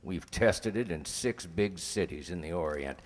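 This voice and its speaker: A man acting in an old-time radio crime drama as a polite, persistent drug dealer pitching like a salesman. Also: lively intonation, a little slow. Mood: insistent, persuasive. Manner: polite, insistent salesman's patter. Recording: long reverb, hall-like room.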